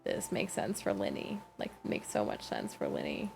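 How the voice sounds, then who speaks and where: A woman livestreaming a game with casual, rambling commentary, thinking aloud in a halting way and questioning herself. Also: extremely low pitch, wildly swooping pitch, gravelly, a little mellow, faint music behind.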